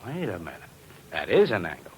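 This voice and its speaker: Plain-spoken worried bookmaker, American, vintage radio drama, swooping intonation, faintly noisy recording. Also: male voice, deep voice, huge pitch swings, a little fast, a little mellow. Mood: puzzled. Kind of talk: 1940s radio drama acting.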